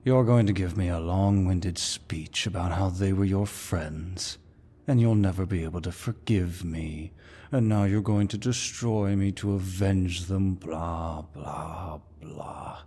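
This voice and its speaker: A man playing a suave, dominant demon lord who speaks in a deep, husky voice with mocking courtesy, as in an ASMR villain roleplay. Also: mellow, full character voice. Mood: mocking, bored. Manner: slow, mocking, mock-courteous, theatrical.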